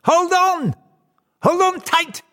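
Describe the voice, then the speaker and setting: A man's voice: very high voice, animated, a little slow, vocal fry, sharp tone, voice pushed up, full character voice. A man voicing a wily, gleeful little old devil, exclamatory and taunting, in theatrical verse storytelling with heavy hall reverb. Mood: wild glee.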